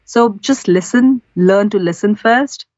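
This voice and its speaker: Woman from India, pro voice-over artist as guest, earnest and practical, medium pitch, slightly muffled recording. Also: measured pace, darkish tone, no echo. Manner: earnest, practical, gives advice step by step. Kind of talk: interview answers. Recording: slightly dull, lo-fi audio.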